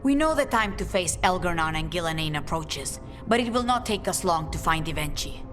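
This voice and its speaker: A low-pitched woman's voice with faint music behind it. She is a composed, resolute underworld leader who chooses her words formally and deliberately, as in a dramatic fantasy cutscene. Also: expressive, fairly bright tone. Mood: calm determination. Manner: measured, formal, deliberate phrasing. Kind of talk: video game cutscene acting.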